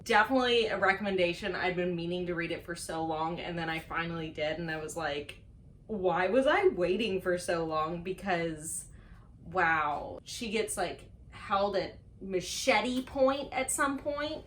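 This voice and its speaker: A woman's voice: fairly low pitch, measured pace, fairly bright tone, slight background noise. A woman chatting casually to camera as a book reviewer, playful and self-mocking, stretching words for dramatic emphasis. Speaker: book reviewer on a video channel.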